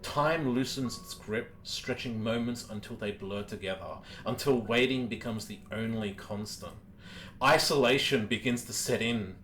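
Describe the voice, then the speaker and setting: A man running a tabletop game as game master, narrating in a slow, solemn storyteller's manner with deliberate pauses. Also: medium pitch. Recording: loud guitar music behind the voice.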